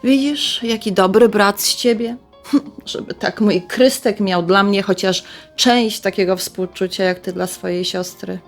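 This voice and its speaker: Chatty mom in her middle years woman acting it, warm-hearted, expressive, radio drama talk. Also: medium pitch, unhurried, soft background music, natural voice. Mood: resigned. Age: middle-aged. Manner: colloquial, expressive, motherly. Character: chatty, warm-hearted middle-aged mother of grown son. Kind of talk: radio drama dialogue, conversational.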